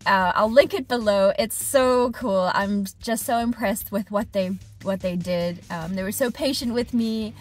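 Woman in her thirties, tradesperson vlogging in her truck, lively and expressive, mid-pitched, faint music behind. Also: a little slow, hardly any pauses. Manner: animated, expressive, gesturing with hands. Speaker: HVAC technician and business owner. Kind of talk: casual vlog diary. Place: inside a parked truck cab. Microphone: camera on dashboard at arm's length.

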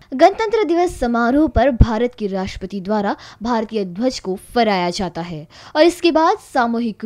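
High-voiced woman narrating news. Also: a little mellow.

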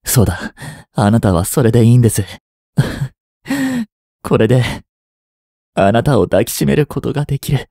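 A young man in his twenties playing a treacherous, smooth-tongued captive prince and spy in a Japanese audio drama, with courteous, theatrical speech that can turn mocking. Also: medium pitch, huge pitch swings, dark tone, no room sound, studio quality. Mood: coaxing, eager. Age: 20s. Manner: slow, theatrical, courteous speech slipping into taunts. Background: background music.

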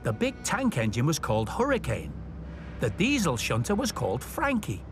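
Very expressive male storybook narrator. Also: mid-range voice, moderate pace. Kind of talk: scripted children's story narration. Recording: music under the narration. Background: music.

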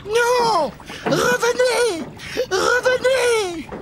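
A man's voice: extremely high pitch, very slow, very noisy, voice pushed up, heavily acted. A man voicing a bossy, mean old ogress in a fairy tale, scolding in a strained shriek. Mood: outraged, desperate. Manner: scolding, exclaiming.

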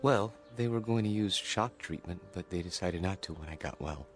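An actor playing a mild, polite grown son who is eager to please, speaking gently and earnestly in a radio drama. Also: male voice, sits low, moderate pace, soft background music, close to an everyday voice. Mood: subdued, reassuring. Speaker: actor playing a discharged patient.

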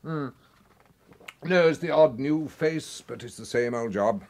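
An actor with a British accent playing an affable, wheedling secret service official in a radio drama, genteel and falsely casual. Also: male voice, mid-range voice, unhurried, a little mellow, close to an everyday voice. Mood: coy, insinuating. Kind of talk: radio drama acting, dialogue.

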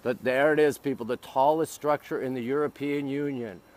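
A man's voice: medium pitch, fairly even intonation, normal speed, a little mellow, slight background noise. A middle-aged man chatting casually to live-stream viewers outdoors, in short, repeated exclamatory phrases. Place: outdoors on city streets by a river.

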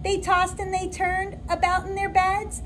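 High-pitched woman reading unhurriedly to children. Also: measured pace, noisy background. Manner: expressive, animated delivery to children. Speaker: kindergarten teacher. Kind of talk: read-aloud storytelling for young children. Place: indoor classroom.

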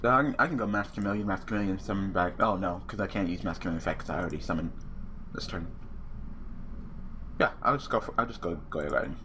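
A young man with a hoarse, worn-out voice commentating a card game online, swearing and ranting casually. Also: sits low, quick pace, slight background noise. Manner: profane, rambling, excitable rants. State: hoarse, losing his voice. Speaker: gaming channel host.

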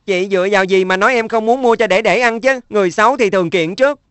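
Woman as an earnest, measured young schoolboy. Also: medium pitch, no room sound, close to an everyday voice. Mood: accusing. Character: thoughtful, dutiful young schoolboy, middle sibling. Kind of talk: cartoon dub.

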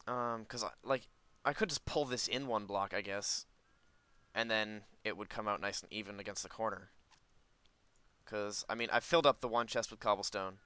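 A man's voice: on the low side, fairly even intonation, moderate pace, pushed and bright, clean tone, dry recording. A man giving casual let's play commentary, rambling and thinking aloud as host of a gaming video.

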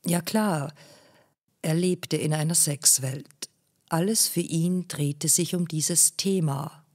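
A woman narrates a literary text in a low-pitched voice. She reads slowly and with measure, giving each phrase its own space. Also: darkish tone, slightly rough. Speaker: audiobook narrator.

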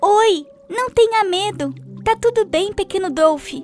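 A gentle, childlike little cartoon shark, voiced by a woman. The voice is extremely high and sweet, speaking slowly and kindly over light music. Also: expressive. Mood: reassuring. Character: friendly, gentle little male baby shark.

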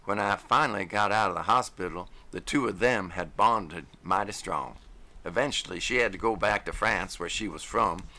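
Folksy old-timer with a slow rural drawl, deep and gravelly, put on by a male narrator. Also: sharp tone. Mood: wistful. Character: folksy older man, grieving dog lover.

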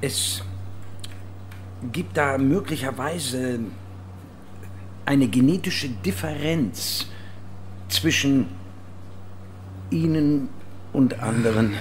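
An actor playing a tactful scientist on an alien planet in an audio drama, speaking carefully and haltingly. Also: male voice, mid-range voice, a little hiss or hum. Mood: tactful, cautious.

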